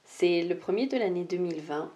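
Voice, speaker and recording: A young woman hosting a solo podcast, talking without notes and often pausing with fillers and restarts. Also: fairly low pitch, lively intonation, dark tone. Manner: hesitant, many "euh" fillers and restarts. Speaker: podcast host, life mentor. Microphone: podcast microphone.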